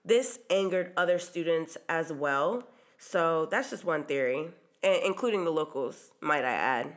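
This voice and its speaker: A woman's voice: deep voice, animated, unhurried. A woman hosting a true crime podcast, narrating in a casual, chatty way with occasional ums, her voice stuffy from a cold. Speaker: podcast host.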